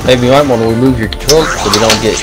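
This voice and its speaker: A young man on a headset, bantering and swearing excitedly while playing a game with friends. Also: medium pitch, fast. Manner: excitable, swearing, trash talk. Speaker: gamer. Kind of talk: casual gaming banter. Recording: game music loud behind.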